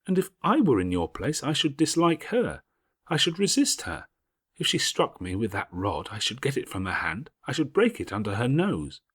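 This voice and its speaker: A male narrator plays an impulsive young schoolgirl in an audiobook. The voice is lifted well above a man's natural register and swings freely, with a slightly husky edge. The manner is eager, emphatic and quick to indignation. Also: mid-range voice, wildly swooping pitch, a bit bright, pitched above the performer's own voice, exaggerated voice. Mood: indignant. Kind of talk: audiobook character voice.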